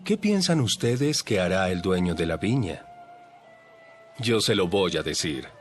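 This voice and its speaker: An actor playing a calm, wise teacher who tells parables in a measured way. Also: male voice, low voice. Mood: teaching. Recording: voice over light background music.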